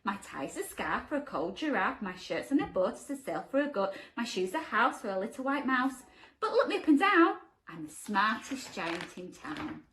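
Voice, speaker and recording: Woman putting on a hearty, animated, kindly giant. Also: on the high side, normal speed. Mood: proud, sing-song. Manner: hearty, sing-song, bouncy. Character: kind, cheerful male giant, proud of his clothes.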